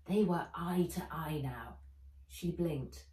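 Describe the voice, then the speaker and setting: A woman author reading her children's adventure book aloud with dramatic, deliberate storybook narration. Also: sits low, dark tone. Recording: roomy echo, a little far from mic.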